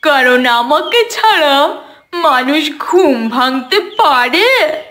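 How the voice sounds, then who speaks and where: A woman's voice: high-pitched, animated, extremely slow, pushed and bright, exaggerated voice. A woman voicing a boastful, competitive cup of coffee in a comic cartoon quarrel, bragging and teasing with emphatic delivery. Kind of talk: cartoon audio comedy dialogue.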